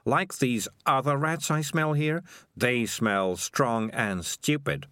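A man's voice: mid-range voice, takes it slowly, fairly bright tone, smooth, no room sound, voice pushed up. A man voicing a wry, disdainful male cat who talks in a dry, sardonic way.

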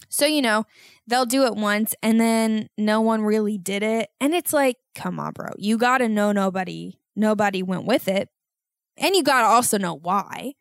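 A young woman hosting a solo podcast, talking in a rambling, self-mocking way with loud outbursts, and sounding congested. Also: on the high side, no room sound, studio quality. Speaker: podcast host.

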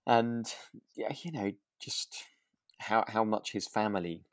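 A man's voice: on the low side, unhurried, dry recording. A man with a British accent chatting casually as a podcast co-host, agreeable and a little rambling. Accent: British English. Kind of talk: casual chat about a radio drama.

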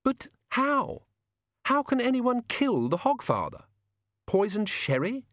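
A man's voice: very high voice, very animated, takes it slowly, fairly bright tone, dry recording, studio quality, pitched above the performer's own voice, heavily acted. A man voicing a forthright, indignant young woman in an audiobook, demanding and incredulous. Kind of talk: audiobook character reading.

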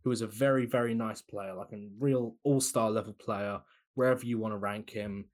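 A man giving casual, opinionated basketball analysis as a podcast guest, with a relaxed, chatty delivery and the odd laugh. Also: on the low side, normal speed. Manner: loose, opinionated, laughs between points.

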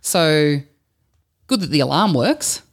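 A woman's voice: low-pitched, very animated, takes it slowly. A woman with an Australian accent hosting a casual podcast interview, chatting in a jokey, teasing manner. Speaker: podcast host.

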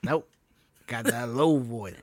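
A man's voice: mid-range voice, slow pace, no room sound. A man co-hosting a music podcast, talking loosely with lots of fillers, restarts and swearing. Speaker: podcast co-host. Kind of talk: casual music chat.

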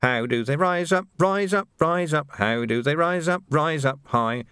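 An ageing sergeant, acted out by a man, folksy and ungrammatical, who swings widely in pitch. He is deferential to officers and cheerful about soldiering, in a close, dry storybook recording. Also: lively intonation, normal speed, fairly bright tone, no room sound, close to an everyday voice. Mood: loud, drilling. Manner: folksy, ungrammatical, barks at recruits. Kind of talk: audiobook character voice.

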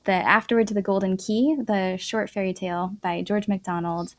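Female podcast host, conversational interviewer, gravelly fry, dry studio-like sound. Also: mid-range voice, steady tone, normal speed, dry recording.

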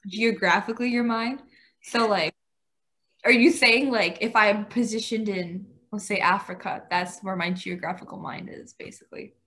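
A woman's voice: medium pitch, moderate pace, fairly bright tone. A young woman with an American accent, casually explaining her thinking and checking with questions. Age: young adult. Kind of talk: casual discussion.

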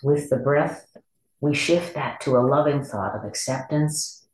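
A meditation teacher leading a body scan in a gentle, unhurried way, leaving long pauses between instructions. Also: mid-range voice. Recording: echoey room.

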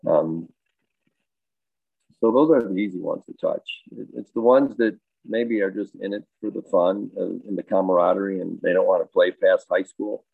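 A man who coaches high school baseball and teaches health, answering interview questions in a thoughtful, rambling way with many fillers and restarts. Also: moderate pace, creaky, dry recording. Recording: dry, tinny phone-like line.

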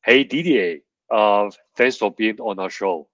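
A man with a husky voice hosting a podcast, introducing a guest and asking questions. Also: lowish voice, a little slow, fairly bright tone, dry recording, muffled recording. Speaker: podcast host. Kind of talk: scripted introduction and interview questions.

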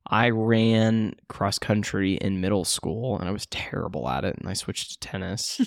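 A man's voice: on the low side, moderate pace, vocal fry, no echo, clean studio sound. A man in his late twenties co-hosting a casual chat, talking in a rambling, self-deprecating way with many fillers and restarts. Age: 20s. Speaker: podcast co-host.

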